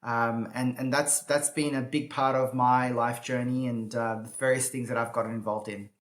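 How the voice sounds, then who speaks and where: A man in his thirties, raised in Australia, answering interview questions thoughtfully with many filler pauses. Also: medium pitch, flat delivery. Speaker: guest, impact investor. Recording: roomy echo.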